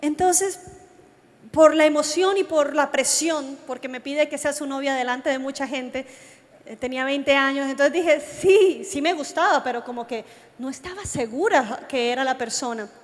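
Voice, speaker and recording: A Colombian woman preaches in a high voice, with long reverb ringing around her. She speaks straight to her listeners and tells her testimony like a story. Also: normal speed. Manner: animated storytelling, addresses listeners directly. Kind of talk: youth sermon with personal testimony. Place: large reverberant meeting hall. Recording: long hall reverb from a sound system.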